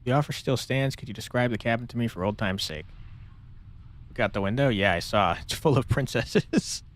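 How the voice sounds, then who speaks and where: A man's voice: medium pitch, normal speed. A man streaming a game with casual commentary, reading choices aloud and chatting chummily back to the characters. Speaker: game streamer, let's player.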